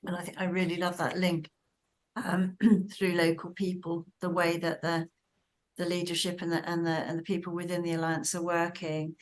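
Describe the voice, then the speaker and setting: A woman hosting an online webinar, introducing speakers with many pauses and restarts. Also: on the low side, monotone. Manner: hesitant, many restarts and fillers. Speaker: webinar host.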